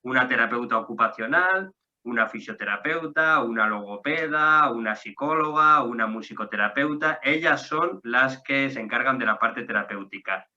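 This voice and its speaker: A man from a stroke association hosting a conversation, explaining resources in a friendly way and spelling out names slowly. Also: medium pitch, pushed and bright, dull audio. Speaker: association staff member hosting the talk. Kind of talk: casual conversational presentation.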